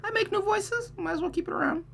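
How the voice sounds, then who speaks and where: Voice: very high voice, fast, vocal fry, a bit bright, a little hiss or hum. A game streamer reading a visual novel aloud and chatting casually about it, voicing the characters along the way.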